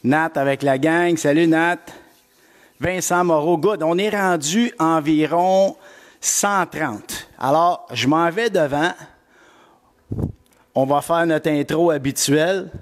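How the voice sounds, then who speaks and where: A man's voice: on the high side, takes it slowly, long pauses. Québécois coach guy doing a live workout stream, upbeat, calls out viewers by name, takes his time. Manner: energetic, greets viewers by name. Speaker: fitness coach.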